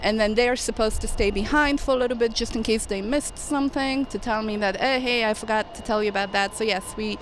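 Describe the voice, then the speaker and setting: A woman's voice: a little high, brisk, few pauses, rough recording. A woman air traffic controller chatting on a livestream, friendly, laughing often and pronouncing every word carefully. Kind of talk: casual livestream chat. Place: outdoors near an airport.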